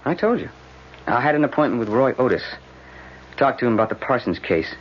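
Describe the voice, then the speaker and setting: A weary defense lawyer acted by a man in an old radio play, dry and wry. His voice sits at a middle pitch on a dull recording with faint noise. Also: natural voice. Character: weary, sardonic male city defense lawyer. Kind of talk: vintage radio drama acting.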